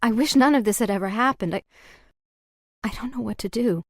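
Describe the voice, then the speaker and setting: A woman playing a gentle, sorrowful young noblewoman in a fantasy game, pleading softly and earnestly. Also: on the high side, brisk, dry recording, studio quality. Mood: pleading, distressed. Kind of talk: fantasy video game acting.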